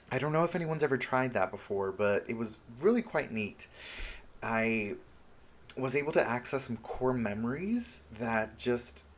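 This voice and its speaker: A man talking casually and openly to his viewers as a self-help vlogger, with an earnest, encouraging, informal manner. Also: on the low side. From Honduras, moved to the United States at seven. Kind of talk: casual confessional vlog talk to viewers.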